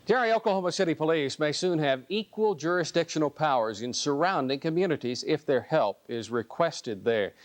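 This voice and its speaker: Higher-pitched American male news anchor. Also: expressive, brisk, few pauses. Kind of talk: broadcast news reading, light desk banter.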